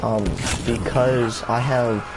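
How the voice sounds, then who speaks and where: Slow, rambling young man gamer. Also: over loud music, rough recording. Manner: rambling, many fillers and restarts, swears casually; pitch jumps up when he gets excited. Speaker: gaming YouTuber. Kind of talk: casual rambling vlog chat. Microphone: console camera mic. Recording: steady background noise.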